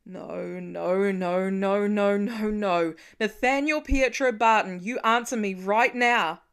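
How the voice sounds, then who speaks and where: A woman's voice: mid-range voice, slow, clean tone. A protective father in his middle years, acted out by a woman narrator. He talks in wry asides and urgent calls, as a storybook character devoted to his family. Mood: frantic. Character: protective middle-aged father, gruff then frantic with worry.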